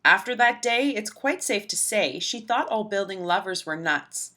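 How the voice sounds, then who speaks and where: Animated woman reading a children's storybook. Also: medium pitch, normal speed. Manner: rhythmic, dramatic storytelling. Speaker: storybook reader. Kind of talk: expressive children's read-aloud narration.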